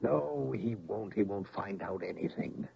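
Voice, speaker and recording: An actor playing an uneasy, guarded conspirator in a radio drama, speaking in terse, hedging phrases. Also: male voice, fairly low pitch, expressive, measured pace, vocal fry, breathy edge, full character voice. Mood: uneasy reassurance. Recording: dull old radio recording.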